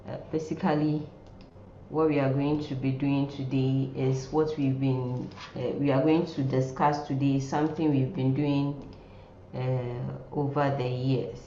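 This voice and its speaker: A woman with a very low, mellow voice teaches an informal online class. She talks slowly and haltingly, often repeating herself. The sound is muffled and echoey, picked up by a computer microphone in a room. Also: level tone. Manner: halting, repeating words and phrases. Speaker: nursing lecturer. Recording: muffled, roomy sound with faint noise.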